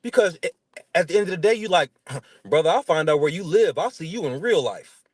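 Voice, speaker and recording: A man in his thirties with a notably high voice, recorded very dry and close. He has a stutter and talks in blunt, emphatic slang, telling stories in a casual interview. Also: lively intonation, fairly quick, breathy edge, no echo. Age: 30s. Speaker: interview guest. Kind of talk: casual chat, animated storytelling.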